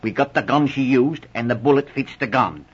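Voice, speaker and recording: An actor playing a stubborn, blustering English police superintendent with a rustic working-class accent and a gruff, argumentative manner, in 1940s radio drama style. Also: male voice, mid-range voice, fairly quick, close to an everyday voice. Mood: skeptical, gruff. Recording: muffled old radio recording.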